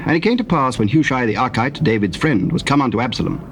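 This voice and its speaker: A man's voice: mid-range voice, animated, fast talker. A man narrating scripture as a solemn, scripted story on a noisy old tape.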